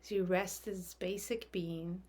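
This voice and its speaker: A woman leading a guided meditation in a gentle, soft, unhurried way, leaving long calming pauses. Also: lowish voice, breathy edge.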